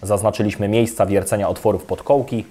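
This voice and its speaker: A young adult man giving a calm, scripted step-by-step voice-over for an electrical installation video. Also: on the low side, a little fast, breathy edge. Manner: calm, even, step-by-step instructional delivery. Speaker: electrician presenting a how-to video. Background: soft guitar music.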